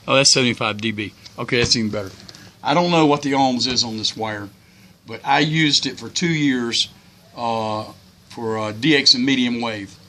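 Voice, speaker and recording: A man's voice: mid-range voice, moderate pace, pushed and bright, a little hiss or hum. An older man giving casual, unscripted hobby tips in a folksy, plain-spoken, rambling way. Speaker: hobbyist video host.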